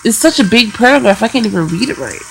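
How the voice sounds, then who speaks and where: A woman's voice: a little high, lively intonation, fast, vocal fry, low-quality recording. A woman hosting a let's play, giving casual, chatty gaming commentary. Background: music.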